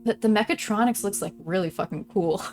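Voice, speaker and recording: A woman's voice: medium pitch, a little fast, a little mellow, soft background music. A woman streamer playing a visual novel, switching between reading narration aloud and casual, informal commentary. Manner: chatty, informal, often trailing off mid-thought. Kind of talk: casual let's-play commentary mixed with read-aloud narration.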